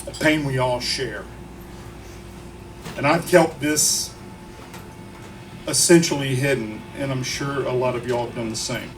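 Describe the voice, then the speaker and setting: Man giving a halting eulogy, distant mic. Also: mid-range voice, steady tone. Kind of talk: emotional, informal eulogy to a gathering. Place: memorial gathering in a bar. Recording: distant mic, faint venue noise.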